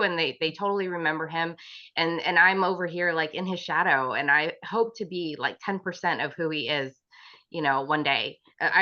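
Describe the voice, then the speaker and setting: A woman speaking as a panel guest, gushing and quick, full of "like" and restarts. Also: low voice, bright, forceful tone. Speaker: panel guest, business owner. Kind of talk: casual interview talk. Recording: dull lo-fi recording.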